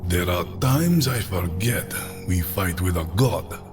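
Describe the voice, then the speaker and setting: A man's voice: deep voice, lively intonation, slow, darkish tone, soft background music. A man playing a stoic, formal Siberian superhero with a metal body in an audio drama.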